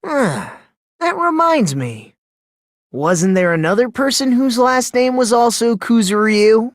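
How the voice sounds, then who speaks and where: A man voicing an eerily calm, unsettling teenage boy in a video game, soft and breathy, politely taunting and theatrical. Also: on the high side, dry recording, studio quality, exaggerated voice. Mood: matter-of-fact. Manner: polite, taunting, theatrical, swinging between hushed and excited.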